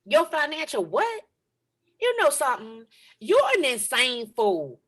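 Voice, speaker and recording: A woman's voice: sits high, huge pitch swings, measured pace, a bit bright, heavily acted. Woman playing a sassy young granddaughter. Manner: sassy, exasperated scolding. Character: sassy nineteen-year-old granddaughter. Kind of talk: table read.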